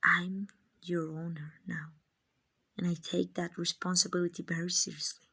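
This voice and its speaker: A woman acting as a kind, gentle female vampire who owns a mansion, in a hushed, breathy, reassuring ASMR roleplay. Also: lowish voice, little pitch movement, normal speed, exaggerated voice. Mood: reassuring, tender. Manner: hushed, breathy, tender and reassuring, often near whispering.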